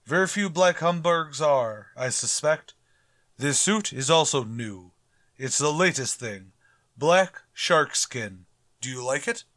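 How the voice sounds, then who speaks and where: An actor playing a pompous, grumbling middle-aged school principal in a radio comedy, formal and dryly sarcastic. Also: male voice, fairly high pitch, lively intonation, slow, fairly bright tone, dry recording. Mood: vain, formal. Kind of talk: old-time radio comedy acting.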